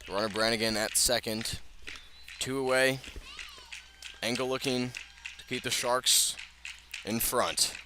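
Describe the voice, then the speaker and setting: A man's voice: medium pitch, fairly even intonation, takes it slowly, long pauses. A man with an American accent calling live baseball play-by-play on the radio. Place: outdoors at a ballpark. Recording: outdoor ballpark ambience, clear background noise.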